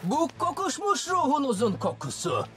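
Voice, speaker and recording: A cocky cartoon boy hero in alien form. The voice is high and very animated, throwing bold, mocking lines over clear background music. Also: moderate pace. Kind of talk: Turkish cartoon dub acting.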